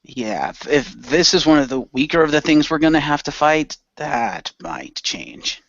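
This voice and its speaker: A man's voice: fairly high pitch, big pitch swings, a little slow, a bit bright, no echo. A man playing along in a casual role-play radio show with easy banter, recorded with dull sound. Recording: dull, lo-fi audio.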